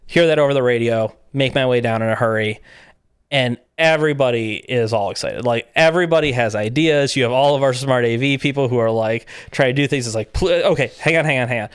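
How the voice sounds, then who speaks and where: A man's voice: mid-range voice, fairly quick, clear, resonant tone, no echo, clean studio sound. A man guesting on a podcast, telling event stories in a casual chat and acting out the back-and-forth as he goes. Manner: lively anecdotes, acting out quoted exchanges with laughs.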